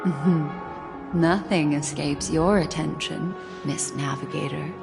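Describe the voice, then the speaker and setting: A woman's voice: low voice, fairly even intonation, slow, gravelly, over music, heavily acted. A woman playing a mysterious, teasing lady in a video game, coy and languid in manner. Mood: coy, amused. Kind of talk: scripted game dialogue.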